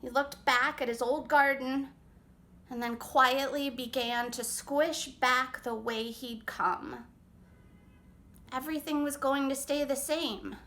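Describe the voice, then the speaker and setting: A woman reading a picture book aloud as storytime narration, expressively and with dramatic pauses. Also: sits high, pushed and bright. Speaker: children's author reading aloud.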